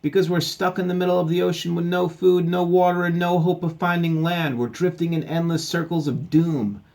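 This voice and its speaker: A man's voice: high-pitched, fairly even intonation, a little fast, vocal fry, a little mellow, voice pushed up. A man voicing a bossy, grumpy dog pirate captain from a storybook, with blustery, exclaiming pirate talk. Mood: exasperated.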